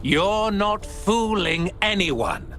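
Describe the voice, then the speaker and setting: An actor playing a smug, sly art-dealer villain in a video game, pompous and mocking. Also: male voice, high-pitched, expressive, pushed and bright, faint music behind, heavily acted. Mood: smug, slippery. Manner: pompous, mocking, drawn-out delivery.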